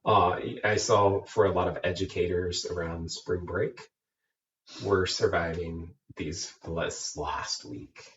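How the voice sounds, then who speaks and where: A low-voiced man with a nasal, stuffed-up sound from a head cold, ribbing his co-host in easygoing podcast chat. He sits a little back from the microphone, so the sound is dull and lo-fi, with a faint hiss underneath. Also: fairly even intonation, slow, fairly bright tone, distant mic. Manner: joking, teasing. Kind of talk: casual chat.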